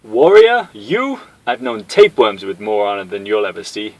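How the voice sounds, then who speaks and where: A man's voice: on the low side, huge pitch swings, brisk, creaky, a little mellow, no room sound, heavily acted, rough recording. A man voicing a brash army colonel who barks orders and taunts his enemy. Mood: taunting. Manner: barking, taunting.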